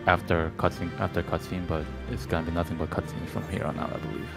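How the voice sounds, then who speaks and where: A man's voice: low-pitched, little pitch movement, fast talker, gravelly, music in the background. A man casually chatting as co-commentator on a game playthrough. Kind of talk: casual chat.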